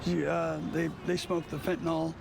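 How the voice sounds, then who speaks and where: A man's voice: on the high side, level tone, a little slow, vocal fry. An American man, a street cleanup worker, chatting casually about his job outdoors. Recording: loud street and vehicle noise.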